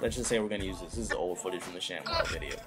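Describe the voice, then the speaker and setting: A man's voice: low-pitched, racing, music in the background. A young man hosting a casual computer tutorial, joking and talking straight to viewers. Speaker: YouTube tutorial host. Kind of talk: casual screen-recorded tutorial.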